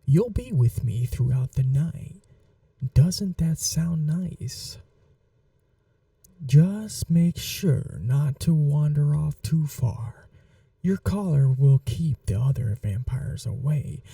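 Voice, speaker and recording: A man playing a possessive, seductive vampire in an intimate ASMR roleplay, speaking in a soft, breathy half-whisper with a languid, teasing, condescending manner. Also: on the high side, expressive, takes it slowly, dry recording, recorded from a distance, exaggerated voice. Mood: possessive, sweet.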